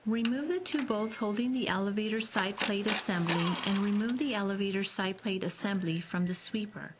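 A woman reading scripted step-by-step repair instructions as a voice-over, in a measured, even way. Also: medium pitch, very noisy. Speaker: instructional narrator.

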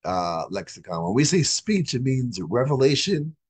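Hoarse, husky man teaching a class. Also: medium pitch, very animated, darkish tone. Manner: halting, repeats phrases for emphasis. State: hoarse, recovering from a lost voice. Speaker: religious teacher. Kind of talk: informal religious class, explaining step by step. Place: indoors, home study with bookshelves. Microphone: video call microphone.

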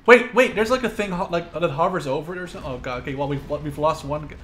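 Male gamer, high register, urgent and profane casual banter, faint game ambience underneath. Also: fast, a bit bright. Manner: sweary, urgent. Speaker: video game player. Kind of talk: excited casual gaming banter. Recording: clear background noise of game ambience.

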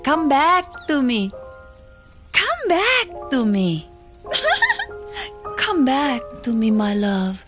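A desperate young island lover, high voice that swings all over, very slow and drawn out, music underneath. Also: female voice, huge pitch swings, exaggerated voice. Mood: grief-stricken. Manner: impassioned, pleading, wild swings to cries. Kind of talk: full-cast audio drama. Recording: music score behind.

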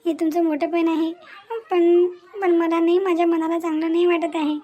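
A woman's voice: very high voice, little pitch movement, moderate pace, mellow. A woman voicing a meek, adoring young wife in a cartoon family drama, sweet, devout and repetitive. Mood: devoted, grateful.

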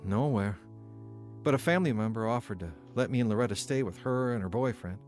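A man's voice: mid-range voice, expressive, brisk, a little mellow, soft background music, natural voice. An actor playing a troubled, wavering young man telling his life story in a radio drama. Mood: subdued. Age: young adult. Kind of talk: radio drama narration and dialogue.

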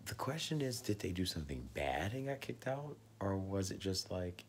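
A man in his thirties who is losing his voice, talking slowly at home into a phone at arm's length, often dropping to a husky whisper and clearing his throat. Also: low-pitched, sing-song, a little hiss or hum. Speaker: vlogger sharing religious theories. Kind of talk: casual home video monologue. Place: living room at home, indoors. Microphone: phone camera at arm's length.

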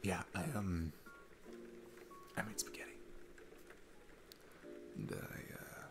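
A man playing a shy, sweet single dad smitten with his babysitter, speaking gently and haltingly in a romantic ASMR roleplay. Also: deep voice, gravelly, over music, full character voice. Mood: sheepish, tender.

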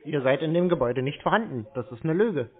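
A man's voice: a little high, animated, quick pace. A man talking insistently on the phone, challenging the person on the other end in a confrontational conversation. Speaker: scam-baiting caller. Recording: tinny narrowband phone line.

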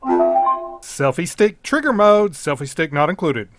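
A middle-aged man delivers a spoof sales pitch in announcer style. His voice sits a little high and moves around a lot, with music behind it. Every selling point gets exaggerated emphasis. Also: wildly swooping pitch, slow pace. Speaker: host of a parody product video. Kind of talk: parody infomercial announcer narration.

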